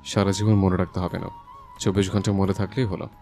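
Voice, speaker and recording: A low-voiced young husband, played by a woman narrator, gruff and scolding in a romance audio story. He fires off curt, exasperated lines at a quick pace, with faint music behind. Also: flat delivery, dark tone. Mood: curt, firm.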